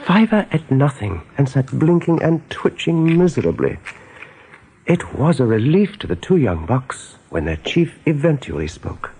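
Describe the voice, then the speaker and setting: A man narrating a radio drama in a measured, scripted storytelling style. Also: on the high side, sing-song, warm tone, husky, full character voice.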